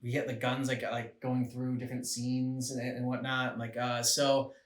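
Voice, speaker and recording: A man co-hosting a reaction show, chatting casually about game scenes and haltingly thinking aloud. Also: medium pitch, flat delivery, far from the mic. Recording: echoey room, off the mic.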